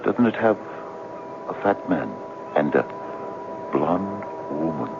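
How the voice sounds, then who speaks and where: Superstitious insistent friend, lower voice, very animated, 1970s radio drama, slightly dull hissy sound. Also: male voice, slow, heavily acted. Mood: awed. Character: earnest, insistent friend, superstitious believer in fate. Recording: muffled, hissy 1970s radio broadcast recording.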